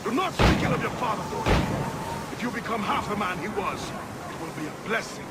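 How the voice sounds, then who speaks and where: A man's voice: sits high, animated, a little fast, far from the mic, heavy background noise. A man playing a proud, defiant older ship captain in a dramatic video game, speaking with scornful, declamatory delivery. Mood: indignant. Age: middle-aged.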